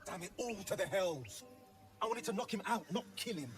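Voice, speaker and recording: An actor playing a vengeful, hot-tempered adventurer in a video game, gruff and emphatic. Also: male voice, high voice, expressive, normal speed, faint music behind. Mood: angry, determined. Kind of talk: video game character acting.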